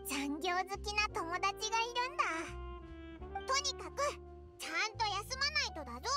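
A woman voicing a tiny, excitable fairy companion in an anime video game, with a squeaky childlike voice and boyish, exclamatory wording. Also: moderate pace. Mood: concerned. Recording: game music behind the voice.

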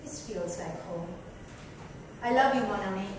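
A woman reading dramatically as a self-absorbed, coaxing female friend, with an expressive theatrical delivery. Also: medium pitch, unhurried, close to an everyday voice. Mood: wistful. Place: club lounge on stage-like set, indoors. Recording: echoey room, distant mic, noisy.

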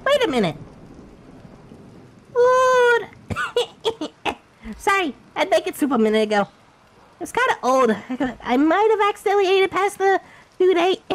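A woman's voice: extremely high pitch, very animated, unhurried, long pauses, heavily acted. A woman voicing a feisty, cheeky cartoon ink devil in exclamatory, muttering game commentary. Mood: chirpy. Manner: exclamatory, muttering, repeats words. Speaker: gameplay host.

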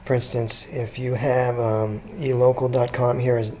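Relaxed man with a mellow voice. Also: mid-range voice, moderate pace, slight background noise. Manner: relaxed, chatty, many ums and restarts. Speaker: SEO consultant pitching a business. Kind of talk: casual screen-recorded walkthrough.

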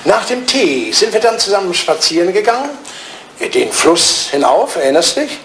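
An actor playing an aging English lord, whimsical, nostalgic and imperious, in a theatrical German radio play. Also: male voice, high voice, very animated, moderate pace, a bit bright, full character voice. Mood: nostalgic, tender. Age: older. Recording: roomy sound with clear background noise.

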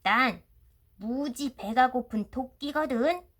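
Woman acting a high-pitched, indignant little rabbit girl. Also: slow pace, heavily acted. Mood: defiant. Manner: indignant, tattling, shouting. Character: feisty, suspicious, grumbling young girl rabbit, big sister. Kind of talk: storybook character voice.

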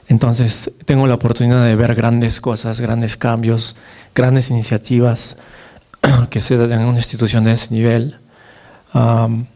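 A Peruvian man in his thirties lectures from a podium in a big dark hall. His voice is mellow and his pitch sits mid-range for a man. He keeps it conversational, full of fillers and "right?" tag questions. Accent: Peruvian Spanish. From Peru. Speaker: neurologist giving a lecture. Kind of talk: conference lecture. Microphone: podium microphone, far camera.

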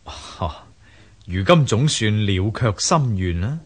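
An actor playing a polite, inquisitive gentleman in a radio drama, speaking with a Hong Kong Cantonese accent. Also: fairly low pitch, lively intonation, slow, husky, full character voice. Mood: polite, curious. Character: polite gentleman visiting a temple.

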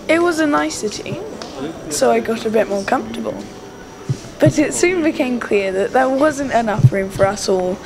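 Cheerful vlogger with a high voice. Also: female voice, creaky. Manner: cheerful, lightly mock-serious narration. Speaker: vlogger filming and narrating. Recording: strong background noise.

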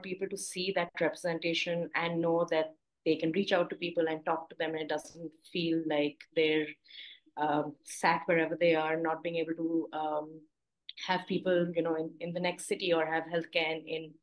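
A young woman from India, a panelist, speaking softly and reflectively over a video call. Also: low-pitched, flat delivery, moderate pace, rough recording. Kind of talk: personal account on a panel. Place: home room with plants. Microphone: video call from a laptop.

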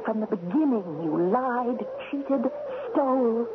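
A woman's voice: a little high, expressive, slow. An actress playing a seductive, cruel spirit queen in a radio drama, theatrical, insinuating and commanding. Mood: taunting. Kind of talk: 1970s radio drama acting. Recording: tinny, hissy old radio recording.

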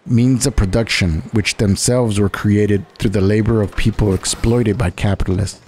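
Low-voiced man narrating a political script. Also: fairly even intonation, moderate pace, darkish tone, a little hiss or hum.

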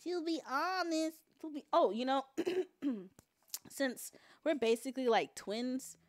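A woman in her twenties co-hosting a casual livestream chat, excitable, laughing loudly and swearing freely. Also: sits high, takes it slowly, no echo. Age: 20s. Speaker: co-host.

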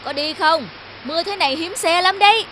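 A woman playing a kind, sensitive young woman in an animated drama, speaking earnestly and with emotion. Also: very high-pitched, fairly quick, background noise. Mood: concerned, gentle. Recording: music behind.

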